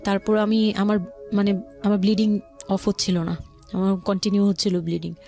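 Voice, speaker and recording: A woman with a Dhaka Bangladeshi accent and a medium-pitched voice recounts personal experience in conversation. She explains haltingly and often rephrases herself, with music playing behind her. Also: flat delivery, creaky, warm tone. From Old Dhaka, Bangladesh. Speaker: guest telling her life story.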